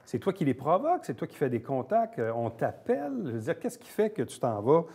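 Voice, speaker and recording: A man's voice: fairly high pitch, huge pitch swings, brisk, creaky, darkish tone, husky. A man with a Quebec French accent conducting a casual, conversational interview.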